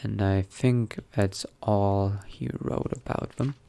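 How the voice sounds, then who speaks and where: Low-voiced man musing in character. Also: steady tone, slow pace, gravelly, mellow, clear, resonant tone. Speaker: roleplay gamer narrating in character. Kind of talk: in-character game roleplay, reading aloud and musing.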